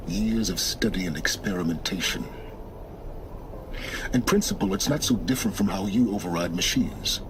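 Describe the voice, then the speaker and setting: An actor playing an aloof, impatient, secretive middle-aged wanderer and scholar in a video game, speaking in a measured, condescending, curt way. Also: male voice, low voice, background noise, natural voice. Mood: guarded, explanatory. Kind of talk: video game cutscene dialogue.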